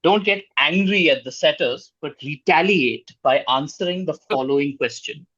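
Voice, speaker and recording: A man hosting a quiz, reading out questions in a formal, measured way. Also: a little high, a bit bright, no echo, dull audio.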